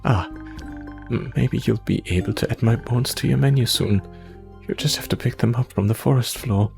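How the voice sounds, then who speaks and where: A man's voice: medium pitch, normal speed, vocal fry, a little mellow. A man voicing an anxious, self-doubting young adventurer from the forest, stammering and rambling in a visual novel. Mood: anxious, glum. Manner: nervous, hesitant stammering and rambling. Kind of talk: visual novel character read-aloud. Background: music.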